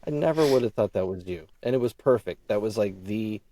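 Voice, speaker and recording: A man's voice: fairly low pitch, expressive, a little fast, crowd noise. A man speaking as a podcast guest in casual chat, effusive and prone to repeating himself. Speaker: podcast guest, audio drama creator.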